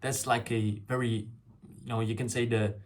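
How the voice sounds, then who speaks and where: A man's voice: medium pitch, little pitch movement, moderate pace. A young man whose first language is Farsi, rambling through a reflective solo vlog with many fillers and restarts. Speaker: YouTuber. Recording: loud fireworks and clicks behind.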